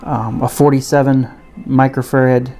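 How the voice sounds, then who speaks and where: A man giving a casual, unscripted product review and demonstration in a halting, hesitant way. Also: mid-range voice, level tone, dark tone. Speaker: gadget reviewer.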